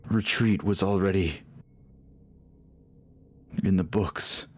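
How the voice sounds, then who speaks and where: Guy doing a guilt ridden fallen soul in a tabletop game, lowish voice, really slow and halting, pleading. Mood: remorseful, halting. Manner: halting, faltering, pleading delivery. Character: tormented, guilt-ridden fallen follower of a light god, pleading. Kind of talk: tabletop roleplay acting.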